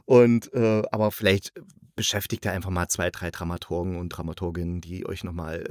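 Male podcast host, low register, informal and colloquial reviewer talk, close dry sound. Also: flat delivery, fairly quick, darkish tone, no room sound. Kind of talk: casual review chat.

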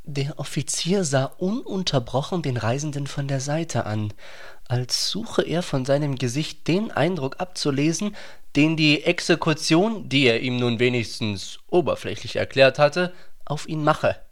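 Higher-voiced man narrating a radio play. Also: lively intonation, measured pace.

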